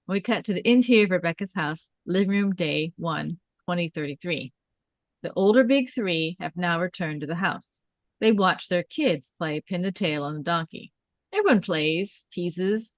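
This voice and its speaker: A woman's voice: fairly low pitch, fairly bright tone, no echo. A woman reading stage directions aloud as scripted narration at a script table read.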